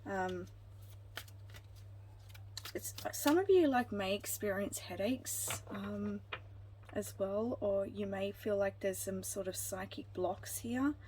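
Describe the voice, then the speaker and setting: A woman reads tarot for her viewers in a gentle, reassuring way, her pitch sitting in the middle for a woman. She wanders through her thoughts with many ums and restarts. A faint hiss of background noise sits under her voice. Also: slow, pauses often. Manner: meandering, frequent ums and restarts. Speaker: tarot card reader. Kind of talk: intuitive pick-a-card reading.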